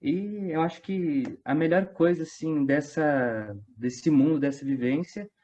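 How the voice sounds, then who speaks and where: A man's voice: on the high side, unhurried, dark tone. Young São Paulo man, dull lo-fi audio. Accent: Brazilian, São Paulo state. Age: 20s. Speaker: theatre company actor, interview guest. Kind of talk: casual interview chat.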